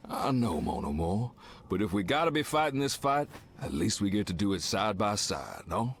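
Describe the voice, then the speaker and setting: A man's voice: lowish voice, big pitch swings, moderate pace, breathy edge, heavily acted. A man voicing a charming, protective, romantic superhero in a video game, with a Cajun accent and a suave, tender manner. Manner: suave, tender, peppered with French endearments. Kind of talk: video game character voice acting.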